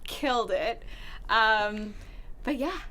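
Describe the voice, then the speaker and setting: A woman's voice: a little high, slow, pushed and bright. A woman in her thirties from Miami, an actress chatting as a guest, bubbly and self-mocking. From Miami, Florida. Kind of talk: casual interview chat.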